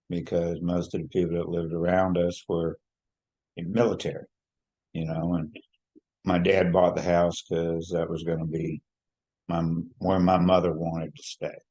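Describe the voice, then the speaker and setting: A man in his sixties from Hawaii, telling his life story in an unhurried, reflective way, with many fillers and restarts. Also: low-pitched, warm tone, husky. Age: 60s. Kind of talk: personal storytelling.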